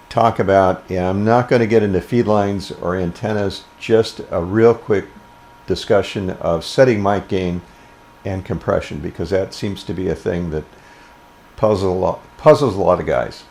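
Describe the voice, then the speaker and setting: Older American man, low-pitched voice. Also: darkish tone. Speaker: ham radio instructor. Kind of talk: informal explanatory tutorial.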